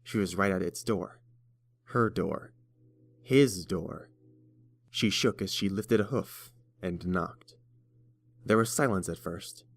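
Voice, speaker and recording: Low-voiced man narrating a story. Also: slow pace, creaky, long pauses, dry recording.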